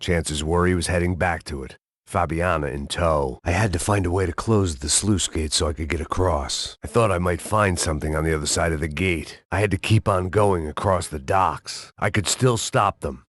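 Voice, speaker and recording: An actor playing a weary, hard-drinking middle-aged ex-cop in a game, narrating in a deadpan, sardonic noir monologue. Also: male voice, low voice, normal speed, no room sound. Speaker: game protagonist.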